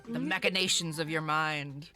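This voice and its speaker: A woman's voice: sits low, steady tone, normal speed, sharp tone, faint music behind. A woman playing a tabletop story game on a voice call, chiming in casually with easygoing comments. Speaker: game player. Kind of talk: casual chat.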